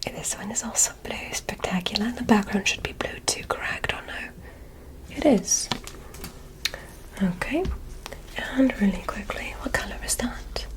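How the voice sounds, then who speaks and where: A woman voicing a curious, polite alien examiner in a whispered ASMR roleplay, speaking in a soft, deliberate, clinical manner. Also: medium pitch, lightly acted, poor audio. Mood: curious, gentle.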